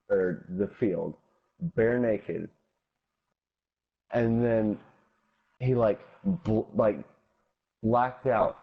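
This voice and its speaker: A man's voice: fairly low pitch, breathy edge. A young man casually telling an anecdote as a guest storyteller, on dull lo-fi audio.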